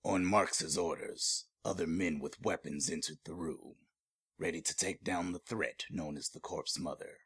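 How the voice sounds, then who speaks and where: A man playing a grave narrator of an audio horror drama, reading scripted, dramatic narration in a deep, husky voice. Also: steady tone, a little slow, a bit bright, heavily acted.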